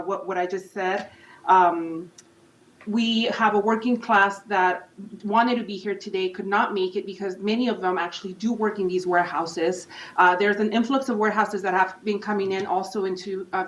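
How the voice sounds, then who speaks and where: A woman's voice: mid-range voice, slight background noise. A woman community organizer giving an urgent, earnest public comment at a meeting. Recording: roomy, distant, dull hall mic.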